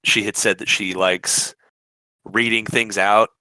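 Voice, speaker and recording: Male podcast guest, lowish bright voice, very dry sound, relaxed conversational chat. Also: takes it slowly, no echo, rough recording. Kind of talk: casual chat.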